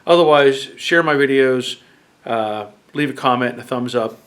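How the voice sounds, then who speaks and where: A man's voice: medium pitch, normal speed, clear, resonant tone. A man in his fifties with a head cold, casually walking through his car build in his garage. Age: 50s. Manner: plain-spoken, relaxed, with fillers. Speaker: garage channel host. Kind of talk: casual workshop walkthrough. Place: home garage.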